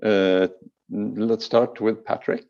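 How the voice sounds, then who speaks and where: A man's voice: on the low side, steady tone, slow pace, vocal fry, a little mellow, highs cut off. A man chairing an online meeting, calling on speakers in a brief, polite way.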